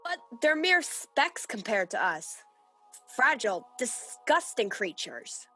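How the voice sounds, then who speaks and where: Female actor as scornful angel, haughty, impatient, forceful bright tone, fairly high voice, radio drama. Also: big pitch swings, slow, heavily acted. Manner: sharp, contemptuous. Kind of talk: radio play acting. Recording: radio play recorded over video call, music behind.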